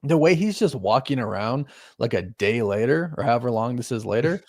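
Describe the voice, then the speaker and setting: A man's voice: medium pitch, lively intonation, a little fast, a little mellow. A man in his thirties chatting casually as he hosts a watch party. He talks in a loose, running way, often laughing and making fun of himself. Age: 30s. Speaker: host.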